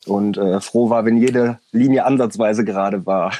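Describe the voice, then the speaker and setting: A man's voice: on the low side, fairly even intonation, measured pace, warm tone. A man in his late twenties, a tattoo artist chatting casually on a voice call, rambling with many fillers and laughing now and then. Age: 20s. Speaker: tattoo artist, podcast guest. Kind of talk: casual chat over a voice call.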